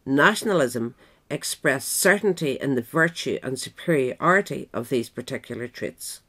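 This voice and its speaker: A woman reading a scripted history essay aloud in a measured, formal manner. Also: rumbling low, lively intonation, fairly bright tone, slightly rough. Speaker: audiobook reader.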